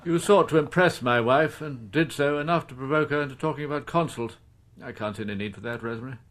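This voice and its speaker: Man playing haughty gentleman, medium pitch, deliberate, superior, condescending, radio drama. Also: close to an everyday voice.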